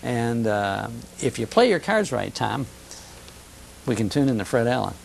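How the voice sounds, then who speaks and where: An American man comments conversationally as a guest expert. His voice has a mid pitch and a noticeable creak. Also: big pitch swings, a little slow, a little hiss or hum. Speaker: guest expert on old-time radio.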